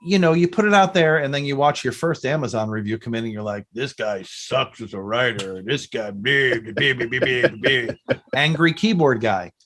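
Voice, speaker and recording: A middle-aged man chatting casually as a podcast guest, telling rambling, animated stories. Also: mid-range voice, moderate pace. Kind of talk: casual interview chat.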